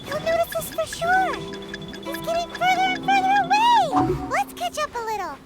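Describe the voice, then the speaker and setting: A woman voicing a tiny, excitable, bossy fairy companion in a video game, squeaky, childlike and exclamatory. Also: normal speed. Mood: urgent. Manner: urgent, exclamatory. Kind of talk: cartoonish video game acting. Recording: loud game music behind the voice.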